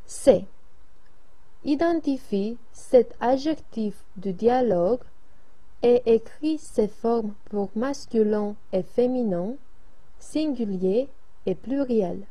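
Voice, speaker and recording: A woman reads classroom exercises aloud at a slow, deliberate pace. Her voice is mellow and warm rather than bright, and her delivery stays even and careful. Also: a little high. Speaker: French lesson reader.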